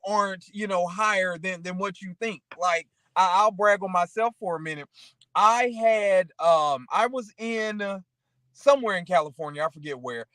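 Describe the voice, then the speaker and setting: A man's voice: high voice, a bit bright, dry recording. A man chatting casually and motivationally as a talk show co-host, rambling through anecdotes and often checking in with the listener.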